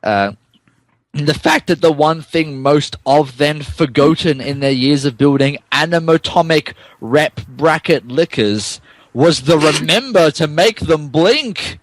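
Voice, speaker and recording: A man with a fairly high voice narrates fan fiction in a mock-dramatic style. He takes his time, giving straight-faced emphasis to garbled words. The sound is dry and close. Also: lively intonation, slow pace, no echo, poor audio. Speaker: podcast narrator.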